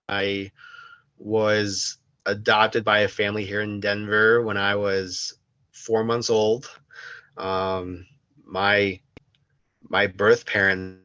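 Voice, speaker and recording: A young man from the United States, a podcast guest talking slowly and hesitantly, with many pauses and restarts. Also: fairly low pitch, sharp tone, clean tone, dry recording, highs cut off. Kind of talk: casual interview.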